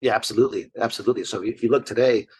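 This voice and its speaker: Brief: male investor guest, forties, from Argentina, husky low voice, steady and orderly. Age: 40s. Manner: fluent, measured business talk in long structured lists. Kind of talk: interview answers.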